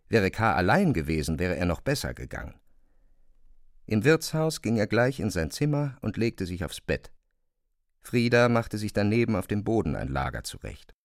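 A man giving a dramatic literary narration for an audio drama, expressive and precise in his diction. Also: lowish voice, dry recording, clean studio sound.

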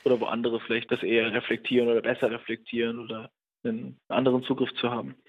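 A man's voice: mid-range voice, level tone, fast, breathy edge. A man being interviewed as a writer, speaking reflectively and hesitantly, searching for his words. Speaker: writer and radio play director interviewed. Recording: muffled phone-like remote line.

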